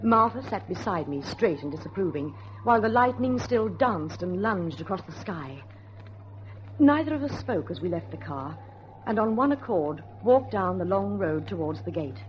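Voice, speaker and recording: Muffled radio drama recording, actress playing young woman storyteller, earnest, suspenseful, lively intonation, faint noise. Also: fairly low pitch, moderate pace, lightly acted. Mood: grave, suspenseful. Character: anxious, determined young woman, storyteller niece. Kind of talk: dramatic first-person narration and radio drama acting.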